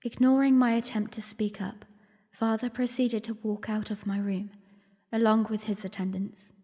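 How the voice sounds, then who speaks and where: Reverberant, mellow young princess played by a woman. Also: mid-range voice, flat delivery, moderate pace, slightly rough, close to an everyday voice. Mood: reflective, wistful. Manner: formal, reflective storytelling. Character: young princess, earnest, weary, quietly defiant narrator. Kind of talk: first-person audio drama narration. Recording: long reverb, music underneath. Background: music.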